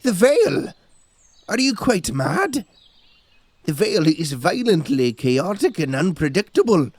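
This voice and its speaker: Formal, animated fantasy gatekeeper. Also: male voice, high voice, unhurried, dry recording, full character voice. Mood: alarmed. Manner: formal, mannered speech. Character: formal, courteous male gatekeeper, condescending and easily flustered. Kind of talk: dramatic fantasy audio drama acting.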